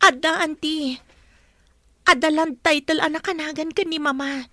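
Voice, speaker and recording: A woman's voice: sits high, fairly even intonation, normal speed, sharp tone, no room sound, clean studio sound. A woman playing a young, earnest captive niece in an audio drama, speaking respectfully to her elders. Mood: earnest, hopeful. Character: young captive heiress Eva, pleading, hopeful, dutiful niece. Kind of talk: Ilocano audio drama acting.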